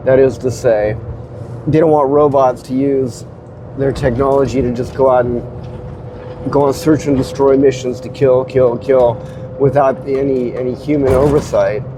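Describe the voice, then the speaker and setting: Male host with a head cold, stuffy and halting, mid pitch; noisy hum under the voice. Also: fairly even intonation. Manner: rambling, hesitant, many restarts. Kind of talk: casual spoken commentary. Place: car.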